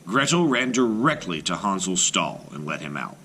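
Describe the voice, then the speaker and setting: A man, a teacher, reading a folktale aloud for children with expressive narration. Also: deep voice, normal speed, slight background noise. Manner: expressive, rising and falling with the tale. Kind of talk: storybook read-aloud narration.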